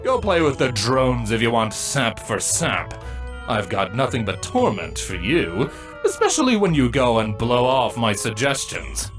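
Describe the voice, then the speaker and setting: A man playing a smug, gruff older-brother changeling, a sardonic insect creature, narrating his story in a dry, taunting way. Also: medium pitch, big pitch swings, a little slow, soft background music. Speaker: first-person narrator and character. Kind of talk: dramatic first-person story narration.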